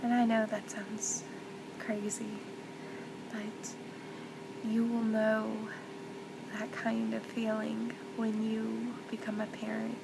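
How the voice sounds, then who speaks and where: A woman playing a gentle, comforting mother in a soft-spoken ASMR roleplay, soothing and tender, often slipping toward a whisper. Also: monotone, takes it slowly, vocal fry, pauses often. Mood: tender, reassuring. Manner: soothing, tender, reassuring, hushed with whispery stretches. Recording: loud background noise with low rumble.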